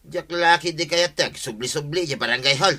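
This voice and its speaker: Comedy radio drama role: male actor as obstinate, sheepish Ilocano villager, forceful and fairly high-pitched. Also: fairly quick. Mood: stubborn, defiant. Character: stubborn, sheepish village man accused of hitting a neighbor.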